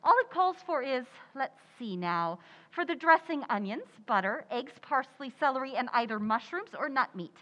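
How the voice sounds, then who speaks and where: A woman acts out an overconfident housewife in an old-time radio comedy. Her voice is high and swoops with theatrical bluffing. The recording is muffled. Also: lively intonation, moderate pace, hardly any pauses, bright, forceful tone. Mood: busy, confident. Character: stubborn, overconfident housewife who cannot cook. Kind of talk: old-time radio sitcom acting, live recreation. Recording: echoey hall, dull muffled sound.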